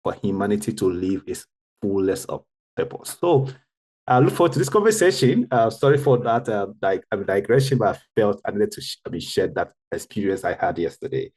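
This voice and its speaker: Husky low-voiced man. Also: expressive, a little fast, darkish tone. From Ghana. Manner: earnest, with frequent restarts and self-corrections. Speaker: guest, tech founder. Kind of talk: roundtable discussion.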